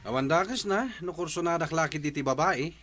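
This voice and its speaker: A man's voice: fairly high pitch, a little fast, fairly bright tone, faint music behind, close to an everyday voice. A man playing a playful, flirtatious village bachelor in a comedy radio drama, with an Ilocano accent.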